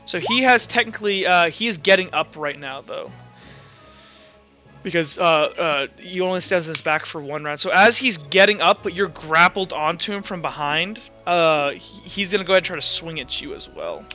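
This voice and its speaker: A man narrating and refereeing a tabletop roleplaying game as game master, improvising in a halting way. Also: a little high, bright, forceful tone, dull audio, faint music behind.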